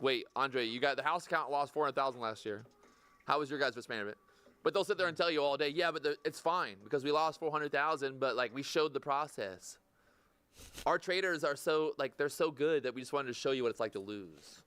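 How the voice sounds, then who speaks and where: A man's voice: mid-range voice, a bit bright, clear, resonant tone, dry recording. A man in casual podcast chat, blunt and profane, arguing hard. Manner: blunt, profane, fast-talking.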